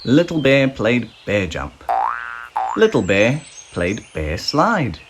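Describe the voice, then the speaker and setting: A storybook narrator reading aloud to young children in a deliberate, expressive scripted style. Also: medium pitch. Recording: faint background noise with sound effects.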